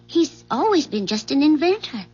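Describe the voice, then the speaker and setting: A woman's voice: high-pitched, sing-song, moderate pace, darkish tone. An actress playing a sweet, evasive neighbor woman in a 1970s radio drama, speaking tentatively and wistfully, eager to please. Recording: dull, muffled 1970s radio broadcast recording.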